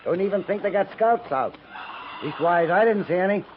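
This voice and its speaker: Casting: relaxed western scout, high voice, terse and folksy, thin tinny radio recording. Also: male voice, normal speed. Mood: easygoing. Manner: terse, folksy, dropped words. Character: easygoing frontier army scout reporting in. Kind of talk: 1950s radio western acting. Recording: tinny 1950s radio recording, faint noise.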